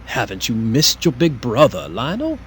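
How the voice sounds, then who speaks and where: Swooping, smarmy, taunting big brother. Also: male voice, mid-range voice, wildly swooping pitch, normal speed, slight background noise. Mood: mocking. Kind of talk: audio drama acting.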